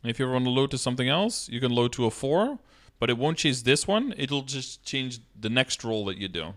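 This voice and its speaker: A man in his thirties with a medium-pitched voice talks on a live stream. He explains things casually, step by step, as he answers viewers, close to the mic in a room. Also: sing-song, brisk, a bit bright. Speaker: game streamer. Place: indoor room, streaming setup.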